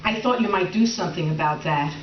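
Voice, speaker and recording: A woman's voice: fairly low pitch, fast talker, lightly acted. A woman playing a middle-aged wife in comic readers theater, tightly wound and resentful, with brittle politeness and dry sarcasm. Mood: icily polite. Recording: roomy, distant, dull, faintly noisy stage recording.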